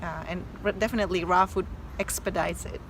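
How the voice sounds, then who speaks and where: A woman's voice: on the low side, fairly even intonation, fairly quick, a little hiss or hum, low-quality recording. A woman from Mexico with a Mexican Spanish accent, a doctor talking earnestly and emphatically as a guest, with many fillers and restarts. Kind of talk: casual interview chat.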